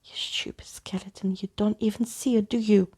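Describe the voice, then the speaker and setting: A woman's voice: medium pitch, steady tone, moderate pace, dark tone, breathy edge. A woman voicing a fierce, scornful girl in a children's storybook, snapping impatiently and hurling insults. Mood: exasperated.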